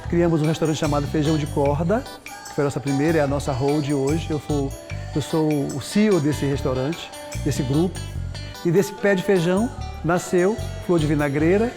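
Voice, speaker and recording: Businesslike man from Amapá, slightly high voice, restaurant owner, music underneath. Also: fairly even intonation, moderate pace. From Amapá, Brazil. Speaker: restaurant CEO.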